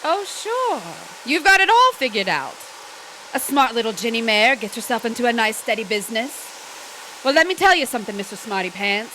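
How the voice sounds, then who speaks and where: A restless, proud young wife as a stage actress plays her, with a highish, bright voice. She is defensive and sharp-tongued in a dramatic stage style. A faint noise runs behind her. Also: sing-song, moderate pace, rough recording. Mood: scornful. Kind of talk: stage drama performed online.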